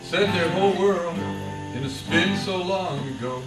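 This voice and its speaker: A woman's voice: lowish voice, a little slow. A woman in her fifties singing a homemade song to her own guitar at a family party, folksy and self-deprecating, breaking off to joke. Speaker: daughter performing at a family party. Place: party room. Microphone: shared microphone. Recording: roomy hall from far off with guitar.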